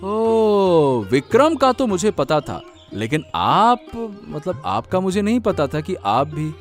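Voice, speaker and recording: A man voicing an eager, kind young village son in a cartoon, excitable and prone to stammering. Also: sits high, slow pace, faint music behind, full character voice. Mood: earnest. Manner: excitable, stammers when flustered. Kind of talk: Hindi cartoon dubbing.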